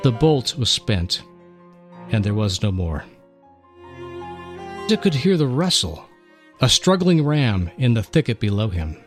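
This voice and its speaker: Male radio host, mid-pitched, earnest and steady, light music bed. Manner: measured, earnest delivery. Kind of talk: dramatic story reading and radio talk.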